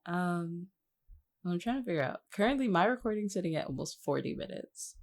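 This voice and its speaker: Female podcast guest, lowish voice with animated intonation, playful and teasing in casual conversation. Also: fairly quick.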